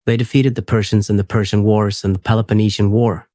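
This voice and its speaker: A man hosting a sleep podcast, reading a script in a steady, unhurried, soothing way. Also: fairly low pitch, dry recording, clean studio sound.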